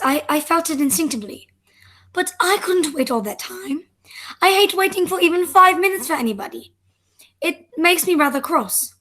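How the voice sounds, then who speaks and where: A woman's voice: sits high, fairly bright tone. A woman playing a sweet, candid, slightly impatient eighteen-year-old girl in an amateur radio play, artless and prim.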